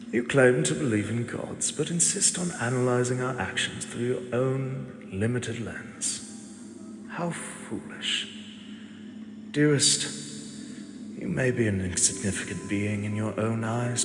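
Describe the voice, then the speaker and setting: A man's voice: on the low side, background noise. A man voicing a tender ancient god. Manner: soft, slow, fond and gently teasing. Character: ancient protective male god, tender and wry. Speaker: voice actor. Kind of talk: audio drama monologue. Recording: echoey with long reverb and clear ambience.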